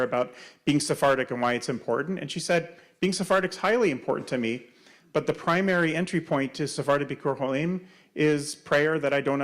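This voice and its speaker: A man presenting at a community panel, answering audience questions in a halting, explanatory way. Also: medium pitch. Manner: explanatory, many fillers and restarts.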